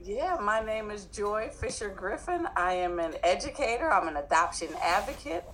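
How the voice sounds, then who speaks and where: A woman's voice: medium pitch, very animated, moderate pace, a bit bright, slight background noise. A woman from New Jersey with a slight Southern twang, a guest telling her story in an earnest, open way. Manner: earnest, open, laughs easily. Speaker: guest, educator and author. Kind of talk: interview storytelling.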